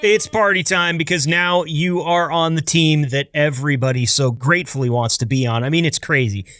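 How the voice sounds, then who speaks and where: A man's voice: on the high side, moderate pace, very noisy. An American man, around his thirties, hosting a podcast in a casual, chatty style with upbeat, playful patter. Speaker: podcast host. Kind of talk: casual, chatty scripted-loose podcast intro.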